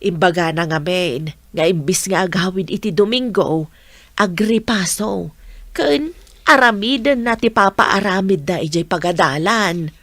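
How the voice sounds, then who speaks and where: A woman's voice: fairly low pitch, a little slow, a bit bright, dry recording. A woman with an Ilocano accent acting a village family role in a radio comedy drama. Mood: fond, hopeful.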